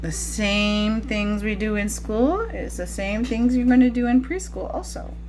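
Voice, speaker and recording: A woman's voice: medium pitch, measured pace, a little mellow, a little hiss or hum. A woman teacher reading a picture book aloud to young children, chatting to them directly with questions and asides. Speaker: preschool teacher.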